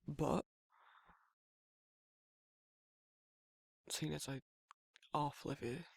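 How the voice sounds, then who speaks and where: A man voicing a gruff but caring werewolf in an intimate ASMR roleplay, with a husky Northern English accent and a hesitant, informal way of talking. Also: fairly high pitch, no echo, heavily acted. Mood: caring, insistent. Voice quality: husky, gravelly. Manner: hesitant, informal, stumbling over feelings. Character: gruff but caring young male werewolf, awkwardly protective.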